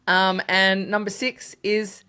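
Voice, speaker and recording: A woman's voice: medium pitch, little pitch movement, slow pace, bright, forceful tone, smooth. Giggly woman co-hosting a podcast. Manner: giggly, laughs often between lines. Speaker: podcast co-host. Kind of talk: casual podcast chat.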